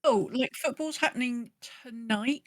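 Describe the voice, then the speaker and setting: A woman's voice: fairly high pitch, normal speed, a loud crowd. A woman co-hosting a podcast, casually chatting and reading out updates. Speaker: podcast co-host.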